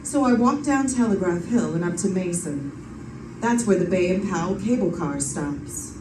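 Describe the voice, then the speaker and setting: A woman's voice: on the low side, animated, moderate pace, background noise, natural voice. Female private eye, acted by a woman, vintage radio drama, breezy, flirtatious wisecracks, roomy stage sound. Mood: breezy, confiding. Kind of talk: 1940s radio detective acting. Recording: roomy distant stage pickup with loud music.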